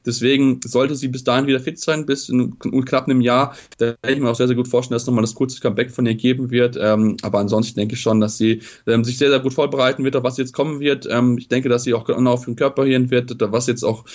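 A man giving casual spoken sports analysis, halting with frequent fillers and restarts. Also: medium pitch, fairly even intonation, a little mellow, lo-fi sound. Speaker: sports pundit.

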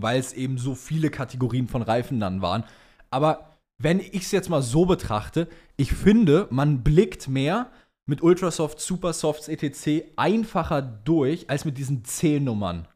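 A man's voice: mid-range voice, animated, normal speed, a little mellow. Casual, slangy young man chatting. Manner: colloquial, slangy, enthusiastic. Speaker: podcast co-host. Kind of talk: casual fan chat about motorsport.

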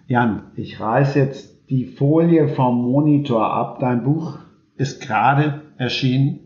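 Echoey, slow-talking male host. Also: medium pitch, darkish tone. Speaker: podcast host. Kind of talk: casual interview chat. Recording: roomy echo, dull muffled sound.

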